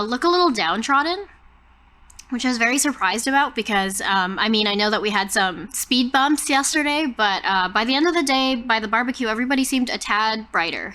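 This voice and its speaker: A woman's voice: a little high, bright, forceful tone. A woman improvising narration for her character as a player in a tabletop roleplaying game.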